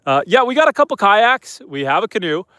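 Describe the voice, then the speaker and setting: A man's voice: sits high, fairly quick, no room sound. A Canadian man in his thirties with a stuffed-up nose from a head cold, chatting casually on a live stream from outdoors at night. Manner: relaxed, chatty, soft-spoken to the animals. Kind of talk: casual live-stream chat reading comments.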